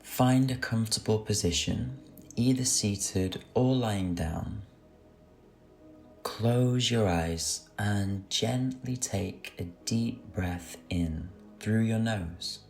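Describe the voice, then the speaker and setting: A man leading a guided meditation with gentle, soothing, unhurried instruction. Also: on the low side, gravelly. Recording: soft music under the voice. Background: music.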